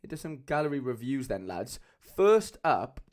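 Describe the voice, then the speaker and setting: A young man with a Cardiff accent chatting casually as a livestream host, loose and rambling with lots of fillers, speaking into a mic on a boom arm at home. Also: sing-song, normal speed. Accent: Cardiff Welsh. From Wales. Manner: chatty, loose, many fillers, calls viewers lads.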